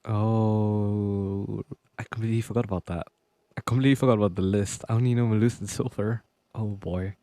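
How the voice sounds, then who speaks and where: A streamer giving casual, low-key muttered reactions during a game playthrough. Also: fairly even intonation, brisk, warm tone, dry recording. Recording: game music behind voice.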